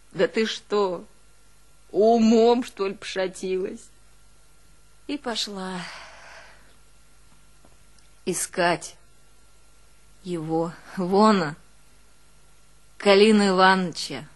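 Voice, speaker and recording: Slow, high-voiced, long-suffering village woman. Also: a bit bright, heavily acted. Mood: self-reproachful. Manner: folksy, plaintive storytelling. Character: long-suffering, devoted Russian village woman recalling hardship. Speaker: actress in a one-woman show. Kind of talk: theatrical monologue with drawn-out folk singing.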